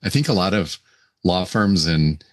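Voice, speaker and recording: Low-voiced raspy man, podcast host. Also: expressive, measured pace, no echo, clean studio sound. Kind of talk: casual podcast chat.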